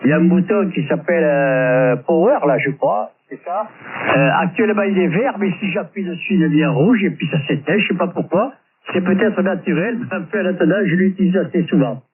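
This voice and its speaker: High-pitched man rambling over tinny ham radio. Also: brisk. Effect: radio transmission. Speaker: amateur radio operator. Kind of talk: casual radio chat. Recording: tinny narrow-band radio link, distant, faint static and clicks.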